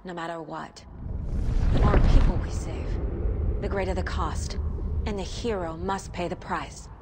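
Resolute detective, slightly low voice, calm and steady, noisy backdrop. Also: female voice, a little slow. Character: determined female FBI detective. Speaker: game protagonist. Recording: noisy game scene.

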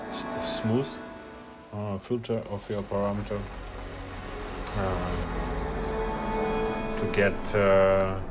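A man, a non-native English speaker whose first language is Russian, giving a hesitant screen-share tutorial at a meetup with many filler sounds. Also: low voice. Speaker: media artist presenting at a meetup.

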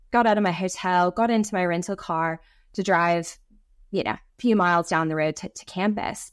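An American woman in her thirties, a guest talking over a video call from a home office. She tells stories in a chatty, restarting way. Her voice sits a touch low and the sound is dry and close. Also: no room sound. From United States. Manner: chatty storytelling, frequent restarts. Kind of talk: conversational interview.

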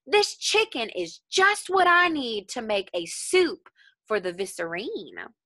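A woman's voice: a little high, big pitch swings, takes it slowly, bright, forceful tone, dry recording, studio quality, full character voice. A woman voicing a gleeful palace cook in a children's storybook, exclaiming with delight. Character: gleeful palace cook, pleased with a lucky find.

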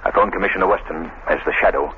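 An actor playing a calm, resolute amateur detective, brisk and decisive, in 1940s radio style. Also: male voice, sits low, lightly acted. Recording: tinny, noisy old radio recording.

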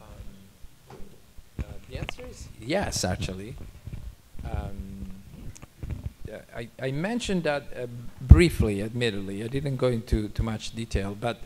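A man's voice: fairly low pitch, expressive, unhurried, background noise. A man from Italy with an Italian accent, a doctor answering questions informally on a panel, speaking into a handheld microphone. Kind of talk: informal expert Q&A. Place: auditorium stage.

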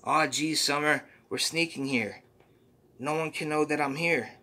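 A man's voice: a little high, unhurried, pushed and bright, pitched above the performer's own voice. A man voicing a cheery, folksy little male poop creature from a comic, who keeps saying "ooh-wee.". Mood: chirpy.

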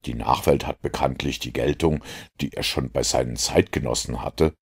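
A master detective in an audiobook, played by a male reader, with an extremely deep, gravelly voice. He lectures calmly and precisely, sure of himself and in no doubt of his conclusions. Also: full character voice. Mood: lecturing. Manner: measured, didactic, self-assured. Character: composed, lecturing master detective, adult man.

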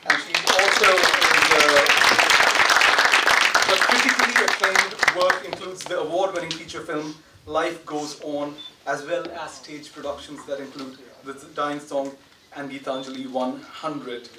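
Echoey, higher-pitched man introducing an event. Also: fairly even intonation, measured pace, a loud crowd. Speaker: academic host introducing panel. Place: large lecture theatre, indoors. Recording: echoey lecture hall, distant, noisy.

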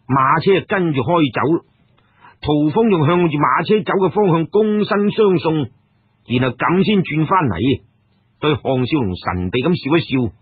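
A man with a Cantonese accent telling a story dramatically, expressive, with drawn-out phrases and colloquial interjections. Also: a little high, slightly rough, no echo. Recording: narrow, radio-like band, dry.

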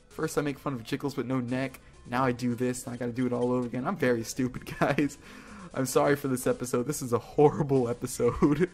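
A man's voice: mid-range voice, a little mellow. A man giving casual gameplay commentary as a video host, joking and self-deprecating, with informal talk and mild swearing. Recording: game music behind the voice. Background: video game music.

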